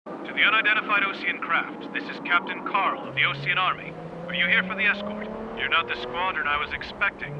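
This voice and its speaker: A man's voice: sits high, fairly quick, dull audio, background noise. A man playing a wary, urgent army captain talking over a military radio in a video game.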